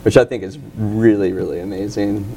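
A man moderating and introducing a guest onstage before a live audience, talking in halting, restarting sentences and laughing easily. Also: on the low side, fairly even intonation, warm tone, slight background noise. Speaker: moderator and teacher.

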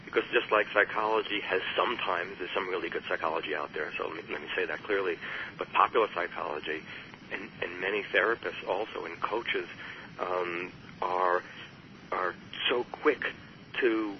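A man who is an author and guest on an interview show, talking in a measured, earnest way and often posing rhetorical questions. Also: low-pitched. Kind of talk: reflective interview talk. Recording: muffled radio sound with faint hiss.

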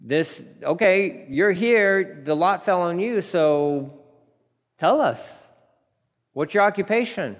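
A man pastor preaching a verse-by-verse sermon, animated, acting out the story's dialogue in different voices. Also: high voice, slow, in a large hall. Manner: animated, acts out dialogue with laughs.

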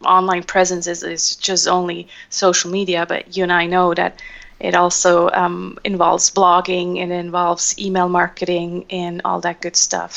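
A Swiss woman, not a native English speaker, answering as a guest on a podcast interview, thoughtful, with pauses and fillers. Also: lowish voice, gravelly, a bit bright, muffled recording. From Switzerland. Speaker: guest, social media trainer.

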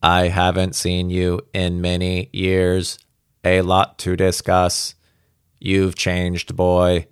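A low, lifeless old professor's voice done by a male reader, the mind of a man living inside a spaceship in a science fiction story. He speaks slowly in halting, detached fragments, without inflection. The sound is close and dry. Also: completely flat delivery, smooth, no room sound. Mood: detached, commanding. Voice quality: toneless, faint, mechanical. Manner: slow, faltering, halting fragments.

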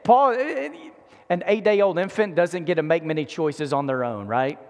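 A male preacher in his forties speaks in a notably high register. His delivery is conversational yet emphatic, circling back over phrases to give them weight. Long reverb from a large hall trails his words. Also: measured pace, darkish tone. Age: 40s. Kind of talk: conversational sermon. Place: large indoor room before an in-person audience.

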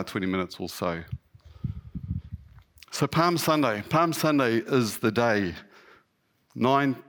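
A man with a New Zealand accent and a slightly husky voice, giving an informal, lightly humorous, self-deprecating sermon to a congregation as a lay guest preacher. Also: lowish voice.

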